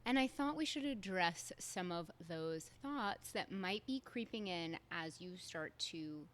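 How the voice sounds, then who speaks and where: A woman's voice: lowish voice, lively intonation, measured pace. A young adult woman, hosting a solo podcast in a candid, conversational way with casual fillers. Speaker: podcast host.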